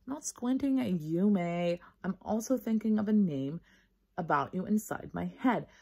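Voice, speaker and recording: A cheeky, stubborn little schoolgirl, acted out by a woman reading a storybook. The voice is husky and defiant, with childish grammar and a self-satisfied air. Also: lowish voice, expressive, moderate pace, mellow. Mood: smug. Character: cheeky, stubborn little first-grade girl.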